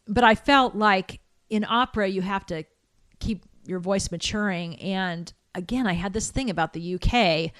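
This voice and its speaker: A middle-aged American woman, a soprano, telling her story in a relaxed interview, chatty and reflective with frequent restarts. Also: lowish voice, smooth, no echo, clean studio sound. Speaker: interview guest, soprano singer.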